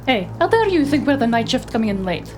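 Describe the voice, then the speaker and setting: A woman's voice: a little high, very animated, fast, soft background music. A woman playing a haughty, imperious operative who poses as a scientist in an audio drama. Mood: indignant. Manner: haughty, indignant.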